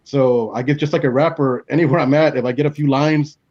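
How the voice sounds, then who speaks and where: A man's voice: mid-range voice, fairly even intonation, fairly quick, a little mellow. A man chatting casually about his craft as a guest author on an online panel, over a video call. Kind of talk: casual chat about writing. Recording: dull, lo-fi video-call audio.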